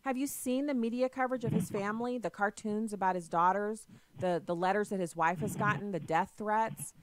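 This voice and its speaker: A woman's voice: mid-range voice, noisy background. A woman hosting a political call-in radio show, pressing her caller with pointed questions. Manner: assertive, argumentative, quick to press questions. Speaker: radio talk show host.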